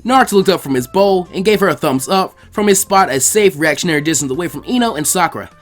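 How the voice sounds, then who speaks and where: Animated fan fiction reader, mid-range voice, music bed underneath. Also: mid-range voice, fast. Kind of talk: scripted story narration with character voices.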